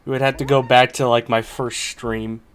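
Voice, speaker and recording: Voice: mid-range voice, little pitch movement, moderate pace. A game streamer chatting casually and reacting to a game in short, offhand remarks. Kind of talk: casual livestream reaction chat.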